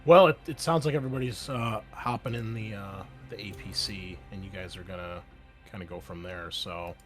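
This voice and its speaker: A man's voice: fairly low pitch, normal speed, over music. A man running a tabletop game as game master, narrating casually with many fillers.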